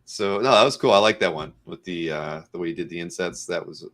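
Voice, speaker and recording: A man's voice: sits low, fairly quick, a bit bright. A man hosting a live interview show in a casual, chatty style.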